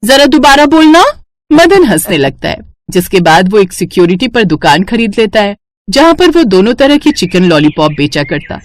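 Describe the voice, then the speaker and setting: A woman's voice: mid-range voice, very animated, normal speed, no room sound, clean studio sound. A woman reading a scripted moral story as its narrator.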